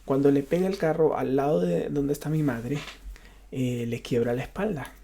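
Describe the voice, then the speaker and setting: A man from Honduras with a Honduran Spanish accent, a pastor giving an unscripted personal testimony, often halting and repeating himself as he searches for words. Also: medium pitch, fairly even intonation, gravelly, warm tone, slight background noise.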